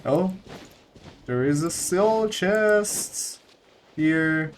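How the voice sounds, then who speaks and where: A man's voice: on the high side, lively intonation, very slow, slight background noise. A man giving casual gameplay commentary, thinking aloud hesitantly and repeating himself. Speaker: gaming streamer.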